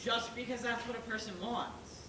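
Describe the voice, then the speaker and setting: A female amateur actor playing a fair-minded, reasonable pig juror in a stage play, measured and questioning. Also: a little high, level tone, close to an everyday voice. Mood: reasoning. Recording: echoey noisy room, distant mic.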